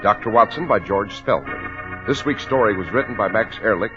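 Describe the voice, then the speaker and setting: A man's voice: on the low side, moderate pace, loud music behind the voice. A man announcing a scripted programme in polished 1940s radio style, on a muffled old recording.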